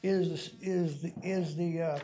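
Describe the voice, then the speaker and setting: A man with a stutter questioning bluntly and argumentatively as a council member at a public meeting, repeating himself haltingly. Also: a little high, fairly even intonation, dark tone. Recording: noisy public meeting room recording.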